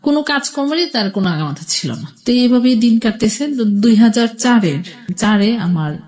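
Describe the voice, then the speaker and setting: A woman's voice: a little high, animated, fairly quick, darkish tone. A middle-aged woman with a Bangladeshi accent telling her life story as a radio interview guest, conversational, repeating and rephrasing as she goes.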